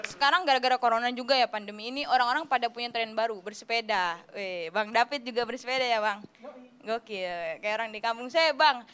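A young woman doing a stand-up comedy routine in an animated, slangy storytelling way. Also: a little high, fairly quick, clean tone. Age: young adult. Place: TV studio stage, indoors. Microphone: handheld microphone.

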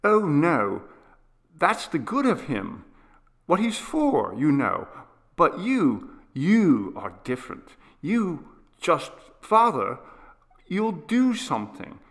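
A man's voice: fairly high pitch, wildly swooping pitch, takes it slowly, voice pushed up, exaggerated voice. A man voicing a frightened, sickly young boy who pleads tearfully with his father. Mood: pleading, tearful. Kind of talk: audiobook character voice.

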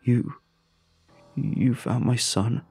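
A man's voice: on the low side, steady tone, a little slow, gravelly, darkish tone, husky, faint music behind, full character voice. A man voicing a grieving father who speaks shakily and breathlessly on the phone. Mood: desperate pleading. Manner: shaky, breathless, pleading.

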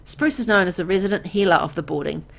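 A woman playing a weary, soft-hearted young woman who narrates her bleak town in a visual novel, reflective and conversational. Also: on the low side, expressive, fast, creaky. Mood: resigned. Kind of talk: scripted first-person visual novel narration. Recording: music under the voice. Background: background music.

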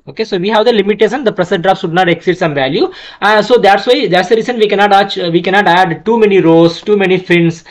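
A man's voice: high voice, quick pace. A man teaching a technical lesson over a screen recording, talking with frequent fillers, restarts and repeated "okay". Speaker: HVAC trainer.

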